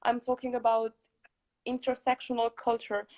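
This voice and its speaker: This young woman from Morocco hosts a casual chat, her voice pitched well above most women's. She talks over a video call in a friendly, rambling way, with plenty of ums and easy laughter. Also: monotone, moderate pace, darkish tone. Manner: chatty, laughs often, many fillers. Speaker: podcast host.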